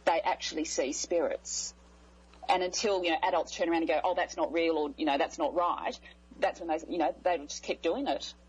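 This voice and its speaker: A woman's voice: low voice, fairly even intonation, fairly quick, husky, lo-fi sound. An Australian woman speaking over a Skype call as a guest, friendly and earnest, laughing readily. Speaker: guest clairvoyant medium. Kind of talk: interview on a call.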